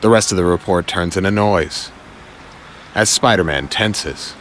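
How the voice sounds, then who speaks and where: A man's voice: low voice, unhurried, fairly bright tone, slight background noise. A man narrating scripted action in a dramatic audio drama style.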